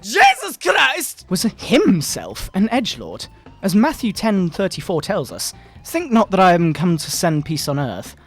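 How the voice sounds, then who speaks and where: A man voicing a pompous, sanctimonious fundamentalist preacher parent in a mock-dramatic sermon, with theatrical, drawn-out emphasis. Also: sits high, wildly swooping pitch, fairly bright tone, exaggerated voice. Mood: fervent righteousness. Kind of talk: mock-dramatic reading of a moral-panic sermon. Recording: background video game music.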